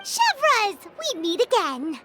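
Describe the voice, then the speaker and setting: A woman voicing a tiny, perky fairy companion in a video game, with a squeaky, childlike, chirpy voice. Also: huge pitch swings, takes it slowly, heavily acted. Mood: friendly. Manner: chirpy, refers to self in third person. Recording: loud game music behind.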